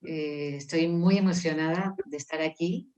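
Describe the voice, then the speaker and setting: Low-voiced older woman, hesitant and earnest. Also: fairly even intonation, measured pace, darkish tone. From Mexico (grew up in Puebla, born in Colombia). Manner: earnest, thoughtful, many hesitant restarts. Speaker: guest, musician and poet. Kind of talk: reflective conversational interview. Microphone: video call.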